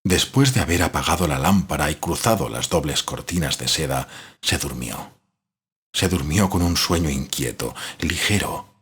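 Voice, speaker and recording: A man with a low, deep voice narrates a gothic literary tale. His storytelling is measured and dramatic. Music plays softly behind the narration. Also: animated, husky. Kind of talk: scripted literary horror narration.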